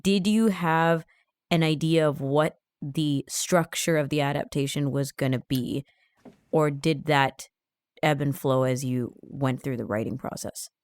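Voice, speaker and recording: Female host, deep register, smooth and clear, conversational questioning, close dry sound. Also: fairly even intonation, measured pace, darkish tone, no echo. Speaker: interviewer, podcast host.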